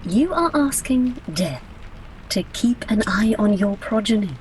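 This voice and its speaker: Slow, solemn Grim Reaper played by a woman. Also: mid-range voice, lively intonation, a little hiss or hum, lightly acted. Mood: exasperated. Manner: formal, grandiose, deliberate. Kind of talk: radio play acting.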